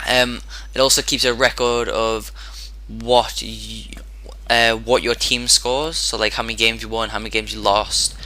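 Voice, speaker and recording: Man, hoarse sore throat, lowish and very sharp-edged tone, chatty gameplay commentator who rambles and self-corrects. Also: level tone, unhurried. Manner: rambling, stops and restarts sentences. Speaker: gaming commentator.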